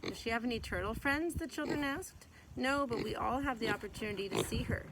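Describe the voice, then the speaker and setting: A woman reading a children's picture book aloud in an expressive storytelling way, stretching out some words. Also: fairly high pitch, brisk, background noise.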